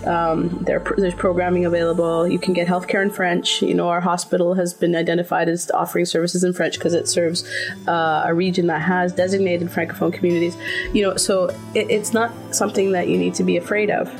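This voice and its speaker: A middle-aged woman whose first language is Finnish, giving thoughtful interview answers with plenty of fillers and restarts. Her voice sits fairly low and has a gravelly, creaky edge. Music plays clearly underneath her. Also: flat delivery, a little fast.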